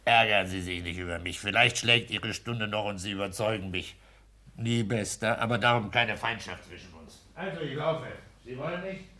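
An actor playing a smug, pedantic clerk and husband in a 1960s German radio play, blunt and domineering. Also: male voice, normal speed, bright, forceful tone. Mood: jovial, condescending. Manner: exacting, domineering, booming bursts of mockery.

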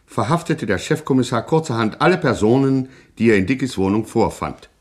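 A man's voice: medium pitch, a little fast, a little mellow. Male narrator for radio satire: mid-range voice, ironic, literary, mock-solemn.

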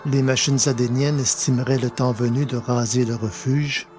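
A man narrating a scripted story in a measured, dramatic manner, with pauses for effect. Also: mid-range voice, steady tone, darkish tone. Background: music.

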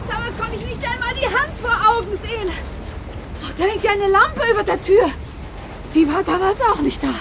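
High-voiced, accusing woman sleuth. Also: normal speed, a bit bright, soft background music. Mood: puzzled, probing. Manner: urgent, emphatic, dramatic delivery. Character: crime novelist woman, shrewd, accusing, agitated amateur sleuth. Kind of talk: German radio drama acting.

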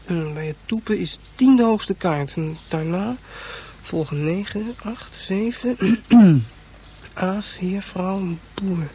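A high-voiced, earnest young rules explainer. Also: male voice, slow, dark tone, a little hiss or hum. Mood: instructive. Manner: instructive, matter-of-fact. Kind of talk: radio play narration.

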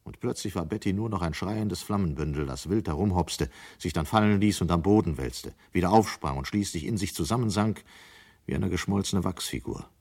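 Sober narrator, deep voice, scripted storytelling, dystopian radio play. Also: male voice, a little fast, darkish tone, breathy edge. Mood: grave.

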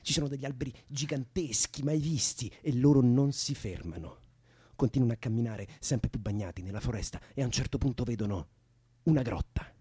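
A man's voice: medium pitch, fairly quick, warm tone, breathy edge. An actor playing a young man in a radio drama, soothing a distressed woman with colloquial, improvised-sounding stories. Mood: absorbed storytelling. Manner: coaxing, colloquial, imaginative. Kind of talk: Italian radio drama acting.

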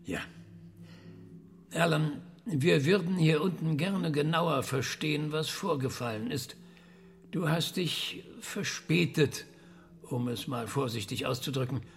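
An actor playing a patient professor in his sixties who questions carefully and deliberately in a radio drama. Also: a little high, creaky, slightly rough, heavily acted. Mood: friendly, careful. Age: 60s. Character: 68-year-old male professor, patient, careful, probing questioner.

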